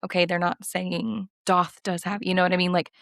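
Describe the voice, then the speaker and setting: A woman co-hosting a casual podcast chat by video call, hoarse from having lost her voice, talking animatedly. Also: fairly quick, no echo, studio quality. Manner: animated, mocks with a high put-on voice. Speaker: podcast co-host.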